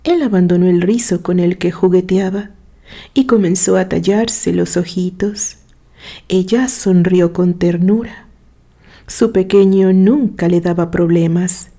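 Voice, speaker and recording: A woman narrating a scripted story in a gentle, sentimental way. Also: lowish voice, measured pace.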